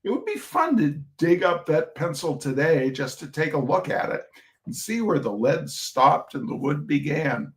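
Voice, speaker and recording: A man's voice: on the high side, very animated, moderate pace. A man giving an informal reading of humorous essays, with a droll, chatty manner and asides to his listeners. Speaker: reader of short humorous pieces.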